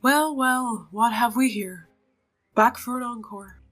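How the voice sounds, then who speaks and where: A woman's voice: a little high, slow, fairly bright tone, soft background music, voice pushed up. Woman playing a flamboyant, aloof circus showman. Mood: languidly amused.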